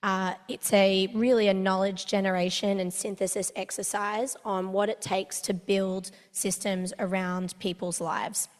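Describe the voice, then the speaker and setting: A woman physician and researcher speaking on a conference panel, hoarse from losing her voice, earnest and energetic with self-deprecating asides. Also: medium pitch, a little slow, few pauses. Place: large conference hall. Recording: long hall reverb.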